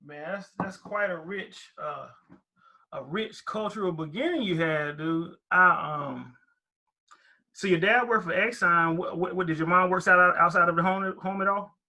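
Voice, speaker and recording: Bright gravelly male podcast host. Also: sits high, normal speed. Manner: playful, joking banter with guest. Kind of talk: casual interview chat.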